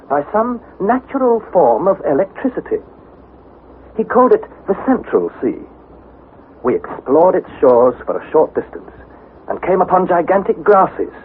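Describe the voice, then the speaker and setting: An actor playing an earnest young adventurer, narrating his perilous journey in a formal, dramatic vintage radio style. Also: a little high. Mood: measured, wondering. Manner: formal, precise, dramatic storytelling. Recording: tinny, noisy 1960s radio recording.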